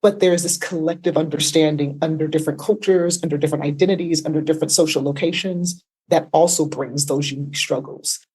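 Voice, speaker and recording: A woman's voice: deep voice, fairly even intonation, fairly quick, few pauses, darkish tone, husky, low-quality recording. A woman therapist being interviewed, explaining points emphatically and often adding a tag question. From United States. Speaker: therapist guest.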